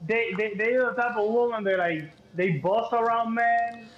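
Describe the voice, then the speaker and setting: A man's voice: extremely high pitch, moderate pace, a crowd in the background. A young man from Cuba with a Cuban Spanish accent, a guest in a casual podcast chat, talking animatedly and repeating himself with lots of "like" and "you know". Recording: dull echoey room audio with faint hiss.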